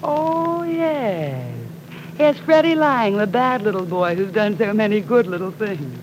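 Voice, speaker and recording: A woman's voice: mid-range voice, sing-song, measured pace, a little hiss or hum, low-quality recording. An actress playing a wealthy, poised young society woman who teases and laughs lightly, in 1940s radio acting style. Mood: amused.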